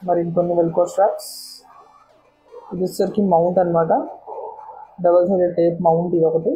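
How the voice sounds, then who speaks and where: Very mellow, dark-voiced woman reviewing gear. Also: fairly low pitch, little pitch movement, a little fast, slightly rough, faint music behind, poor audio. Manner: explanatory, step-by-step, repeats words. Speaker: motorcycle vlogger and product reviewer. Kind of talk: casual unboxing and product explanation.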